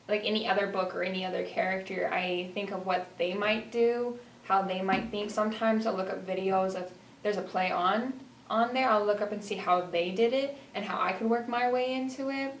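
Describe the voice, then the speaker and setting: Teen female student interviewee, average pitch, informal, recorded away from the mic. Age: teenager. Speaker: student actor being interviewed. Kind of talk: casual interview answers. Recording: echoey room, distant mic, faint noise.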